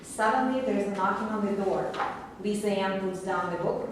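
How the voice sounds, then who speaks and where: A woman's voice: mid-range voice, normal speed. Female stage directions reader, scripted narration, distant and noisy in a very echoey room. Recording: echoey room, distant mic, clear noise.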